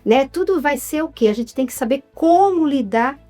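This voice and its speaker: A woman with a Brazilian Portuguese accent, a guest chatting in a relaxed, animated way in an interview. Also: high voice, moderate pace, faint music behind. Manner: animated, chatty, frequent tag questions. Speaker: guest, writer and actress.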